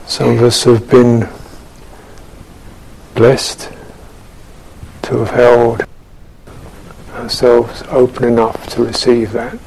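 A man giving a contemplative guided meditation as a Buddhist teacher, speaking in measured phrases with long pauses. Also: mid-range voice, completely flat delivery, creaky, a little mellow, a little hiss or hum, rough recording. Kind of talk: guided meditation and dharma talk.

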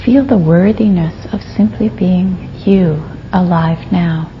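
A muffled, lo-fi recording with faint noise carries a woman guiding a meditation. Her low voice is soft, turning breathy as phrases end. She speaks gently, leaving generous pauses between short phrases. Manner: gentle, unhurried, with long pauses. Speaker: meditation teacher. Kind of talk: guided meditation.

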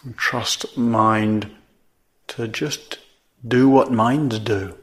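Slow-speaking man guiding meditation. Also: fairly low pitch, fairly even intonation. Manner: measured, with long pauses between phrases. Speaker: meditation teacher. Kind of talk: guided meditation.

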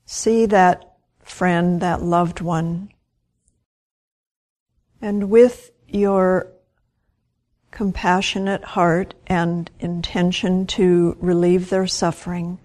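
A woman guides a meditation in a slightly low voice. Her delivery is very slow and gentle. She speaks in short phrases and leaves long, unhurried pauses between them. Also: little pitch movement. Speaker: meditation teacher.

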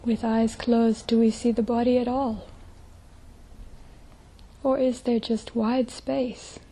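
Very soft, breathy woman guiding meditation. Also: a little high, flat delivery, low-quality recording. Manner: gentle, hushed, with long pauses. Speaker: meditation teacher. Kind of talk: guided meditation.

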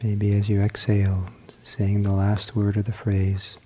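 Slow, soft-spoken man guiding meditation. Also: fairly low pitch, monotone, slight background noise. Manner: slow, soft, trailing into whispers. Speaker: meditation teacher. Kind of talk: guided loving-kindness meditation.

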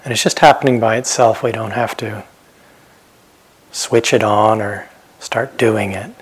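A man giving guided meditation instructions as a teacher, speaking gently and unhurriedly with pauses and phrases that trail off softly. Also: on the low side, fairly even intonation, breathy edge. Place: meditation retreat center.

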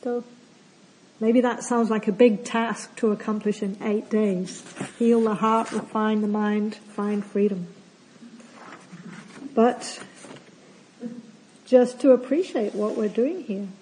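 A woman meditation teacher giving a calm, gentle opening talk at a retreat. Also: medium pitch, slow pace, pauses often, slight background noise, rough recording. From not from Australia. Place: retreat centre hall.

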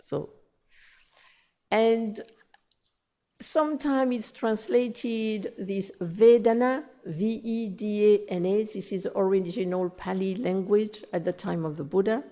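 A woman with a French accent giving a gentle, explanatory talk on meditation as a teacher. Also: medium pitch. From France. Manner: gentle, explanatory, repeats phrases, slow in places. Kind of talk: dharma talk. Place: meditation center.

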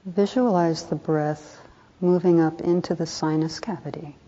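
A woman with a low, mellow voice guides a meditation. She speaks softly and calmly in short instructive phrases with room between them, and the recording is slightly dull and muffled. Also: measured pace. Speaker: meditation teacher.

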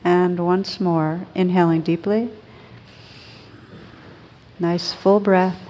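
A woman teaching a guided meditation in a gentle, soothing, unhurried manner with long pauses between phrases. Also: lowish voice, steady tone, lo-fi sound.

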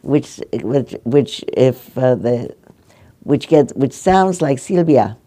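Older woman, meditation teacher, mellow and gentle, halting delivery. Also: a bass voice, animated. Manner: gentle, halting, restarts often. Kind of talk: dharma talk with audience questions. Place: meditation hall.

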